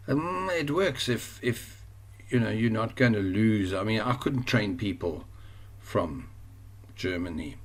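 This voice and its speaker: A man with a South African accent teaching an online course over a screen share, rambling and often correcting himself. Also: on the low side. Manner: rambling, self-correcting, chatty.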